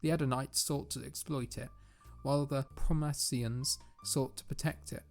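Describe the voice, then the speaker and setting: A man playing a grave, measured teller of clan lore in a fantasy visual novel, with a creaky voice. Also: medium pitch, steady tone, darkish tone, faint music behind, natural voice. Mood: solemn.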